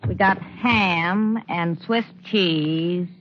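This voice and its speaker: Curt, slouching waitress, dull old radio. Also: female voice, fairly low pitch, very slow. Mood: bored, offhand. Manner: curt, offhand. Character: sloppy, slouching, indifferent waitress in an empty diner. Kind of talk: 1940s radio drama acting. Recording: dull, faintly noisy old radio recording.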